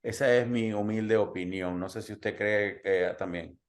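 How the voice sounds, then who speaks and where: A man's voice: fairly low pitch, steady tone, unhurried. A man from Cuba, raised in Puerto Rico, hosting a health talk and chatting with many fillers and personal anecdotes. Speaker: host. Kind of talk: conversational interview.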